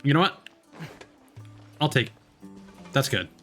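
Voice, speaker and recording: Slow-paced, lively-pitched male game streamer. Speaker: livestreamer playing a video game. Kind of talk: casual stream commentary, reading along with game dialogue. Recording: music behind.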